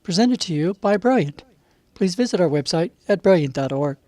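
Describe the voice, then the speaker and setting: A man's voice: high voice, animated, moderate pace, a little mellow, no room sound. A man reading scripted announcements as the narrator of a presentation's intro and outro. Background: music.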